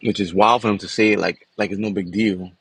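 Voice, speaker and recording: A man's voice: lowish voice, fairly even intonation, brisk, vocal fry, fairly bright tone, husky, dry recording, rough recording. A man, talking in a loose, casual way and often tagging his sentences with "man" and "you know.". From New York City. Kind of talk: casual personal vlog talk.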